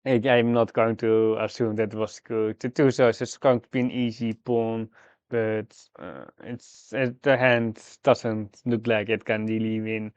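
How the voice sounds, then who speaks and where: A man live-streaming a game, thinking aloud through his moves in a halting, fragmented way. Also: fairly low pitch, flat delivery, darkish tone, smooth, no room sound, lo-fi sound. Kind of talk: live mahjong gameplay commentary, thinking aloud.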